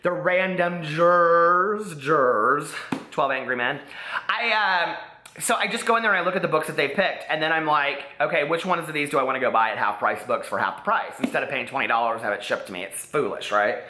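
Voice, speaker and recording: A chatty book vlogger, a man from Indiana, talks through his reading list with a bright, forceful voice and a slight Southern drawl. His lively, sassy asides come through with noticeable room echo. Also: fairly high pitch, brisk, slight background noise. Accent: Midwestern American with a slight Southern drawl. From Indiana, USA. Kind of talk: casual chat to camera.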